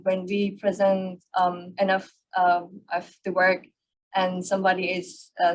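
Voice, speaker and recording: A young woman from Afghanistan, a student on a panel, answering with many pauses and restarts. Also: lowish voice, poor audio. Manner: hesitant, many fillers and restarts.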